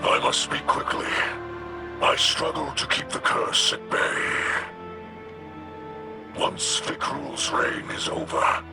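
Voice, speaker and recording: A man playing a proud, solemn, ailing alien leader in a video game, with a breathy growl and halting, portentous delivery. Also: medium pitch, expressive. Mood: strained, grave. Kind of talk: dramatic game voice acting. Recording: loud music under the voice.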